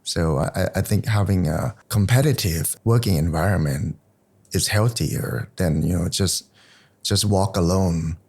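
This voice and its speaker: A man's voice: sits low, measured pace, vocal fry, a little mellow, husky. A middle-aged man, a type foundry's founding partner, talking as a podcast guest, hesitant with frequent repeats and fillers. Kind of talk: casual podcast conversation.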